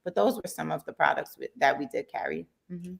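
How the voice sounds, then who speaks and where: A woman in her thirties, from Jamaica and raised in Brooklyn, talks with a low, slightly gravelly voice. She speaks in a relaxed, chatty way, often with a laugh close by. She is a guest on a radio talk show. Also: brisk. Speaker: guest entrepreneur. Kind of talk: casual interview chat. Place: radio studio.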